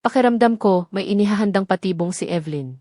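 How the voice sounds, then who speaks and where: Woman doing a calm filipino wife n mom for audio drama, earnest, dry close sound. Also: medium pitch, quick pace, no echo, lightly acted. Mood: worried, tender. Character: calm, steadfast wife and protective mother.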